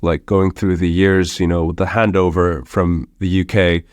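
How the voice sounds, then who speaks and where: A man's voice: sits low, little pitch movement, a little slow, dry recording, studio quality. A young man from Hong Kong with a slight American accent, talking conversationally as a podcast guest with many restarts. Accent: slight American international-school accent. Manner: conversational, with frequent restarts and fillers. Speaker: startup founder guest. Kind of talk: podcast interview.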